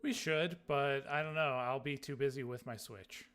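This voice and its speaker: A man's voice: mid-range voice, normal speed, fairly bright tone, clear, resonant tone. A man chatting casually as a co-host on a gaming podcast.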